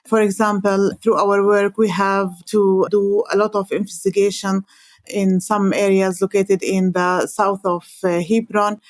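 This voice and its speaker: A woman from Palestine with a Palestinian Arabic accent, a native Arabic speaker, explaining her work as an interview guest in halting, hesitant phrases with frequent pauses. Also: medium pitch, no room sound. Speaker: interview guest, environmental organization coordinator.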